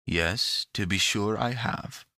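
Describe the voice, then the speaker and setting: A man's voice: lowish voice, slow pace, vocal fry, pushed and bright, dry recording, studio quality, heavily acted. A man voicing a sullen, grudging young boy in a storybook, with a grumbling, sulky manner. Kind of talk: storybook character voice.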